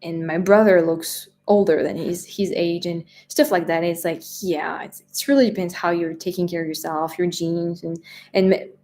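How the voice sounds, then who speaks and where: Woman, 20s, French accent, slightly low voice, easygoing live-stream chatter who rambles. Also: steady tone, normal speed, a little mellow, slight background noise. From France. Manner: chatty, rambling, lots of fillers and restarts. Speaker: travel vlogger answering live chat. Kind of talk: casual live-stream chat.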